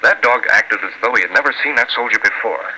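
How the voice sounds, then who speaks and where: A male actor plays a resolute crime-fighting publisher in an old radio serial. His mid-pitched voice is measured, well-spoken and decisive. Plain noise covers the vintage recording. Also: natural voice. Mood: suspicious, resolute. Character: shrewd, resolute newspaper publisher, secretly masked avenger. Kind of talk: 1940s radio acting.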